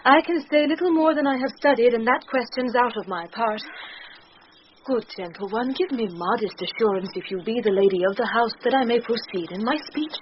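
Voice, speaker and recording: An actress with a British accent playing a bold, witty young messenger disguised as a youth in a Shakespearean radio drama, with theatrical, declamatory delivery. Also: female voice, fairly high pitch, brisk, natural voice. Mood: cheeky, insistent. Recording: dull, noisy old radio drama recording.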